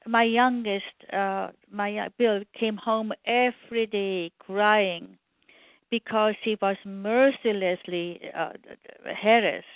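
A woman's voice: medium pitch, fairly even intonation, slow, dry recording. An older woman with a German accent, a radio guest telling about her past with many fillers and restarts. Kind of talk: interview conversation. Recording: tinny phone line.

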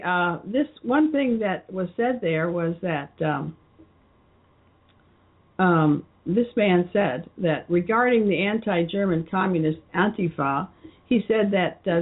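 A woman hosting her own show, talking through an unscripted commentary in a halting way with many fillers and restarts. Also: lowish voice, slightly rough. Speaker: show host. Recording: muffled phone-line audio.

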